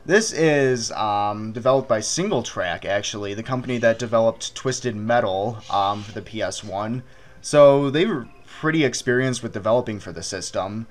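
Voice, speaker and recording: A man's voice: on the low side, normal speed. A man chatting casually through a let's play with friends, swearing freely and joking as he goes. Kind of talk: casual gaming commentary with friends.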